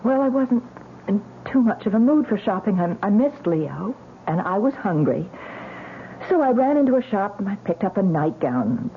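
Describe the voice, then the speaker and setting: Anxious insistent woman, vintage radio play, animated pitch, hesitant then emphatic, narrow tinny old broadcast sound. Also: normal speed, a little hiss or hum. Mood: weary, defensive. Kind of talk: vintage radio drama acting. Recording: band-limited old radio recording with music.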